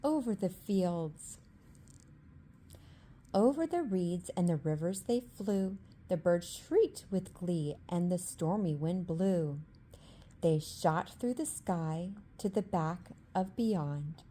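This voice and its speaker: Calm, slow woman reading a bedtime story. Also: fairly low pitch. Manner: calm, rhythmic rhyming narration. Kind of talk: bedtime story read-aloud, ASMR.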